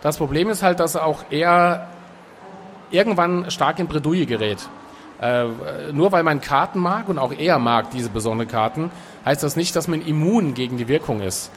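A man with a slightly raised voice talks loosely about his work, filling his pauses with little hesitations. He sounds relaxed and conversational. A faint background hiss sits under the voice. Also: on the high side. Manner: relaxed, chatty, with frequent filler sounds. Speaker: author and guest. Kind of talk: casual interview talk.

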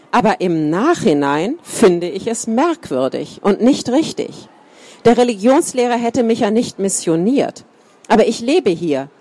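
A woman reads a first-person life account aloud at a calm, steady pace. Her voice sits at an ordinary middle pitch and sounds close and dry, with no room around it. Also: no echo. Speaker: reader.

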